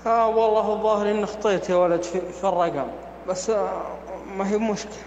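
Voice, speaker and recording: A man's voice: high-pitched, steady tone, quick pace, a little mellow, reverberant, in a large hall, highs cut off, slight background noise. A man putting on a Saudi Bedouin accent to play a bossy, teasing Saudi man in a prank voice message. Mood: gruff questioning. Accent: put-on Saudi Bedouin Arabic. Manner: teasing, questioning, folksy.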